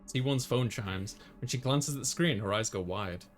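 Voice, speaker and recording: A man voicing an easygoing young student who narrates a visual novel in the first person, measured and conversational. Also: mid-range voice, close to an everyday voice. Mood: descriptive.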